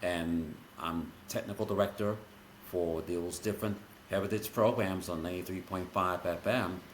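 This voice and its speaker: A man with a very low voice hosts an interview in a measured way, drawing on his own radio days. His voice echoes around the room. A faint noise sits under the recording. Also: fairly even intonation. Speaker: podcast host. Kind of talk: interview, reminiscing.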